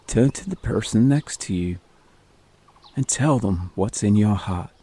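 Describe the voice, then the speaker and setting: A man voicing a serene, blissful male guru who gently leads a spiritual group in an audio story. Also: lowish voice, animated, a little slow, husky, voice pushed up.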